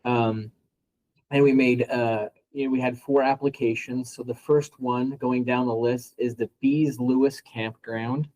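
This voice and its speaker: A man's voice: medium pitch, steady tone, a little slow, a little mellow, slight background noise, poor audio. A man presenting as a regional community advisor over a video call, appreciative and with many "uh"s. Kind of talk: presenting at a meeting.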